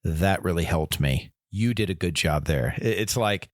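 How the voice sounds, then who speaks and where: A man's voice: sits low, expressive, moderate pace, no echo, studio quality. A man co-hosting a casual faith podcast, talking earnestly with frequent restarts and quoted imagined voices. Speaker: podcast co-host.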